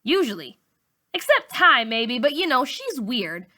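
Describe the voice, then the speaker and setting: A woman's voice: sits high, very animated, unhurried, sharp tone, pitched above the performer's own voice, exaggerated voice. A woman voicing a blunt, nosy middle-school girl from a storybook, with informal, challenging questions. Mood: blunt, curious.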